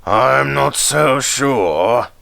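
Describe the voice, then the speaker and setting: A man's voice: medium pitch, animated, very slow, creaky, pushed and bright, no echo, voice pushed up, heavily acted. A man voicing a stern, exasperated railway controller with a commanding, emphatic manner in a storybook reading. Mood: grim, suspicious.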